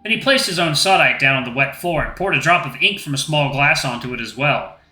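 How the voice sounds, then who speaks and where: A man's voice: a little high, expressive, a little fast, bright, forceful tone. A man hosting a gaming channel, reading a visual novel aloud with casual asides to viewers. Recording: echoey room, faint music.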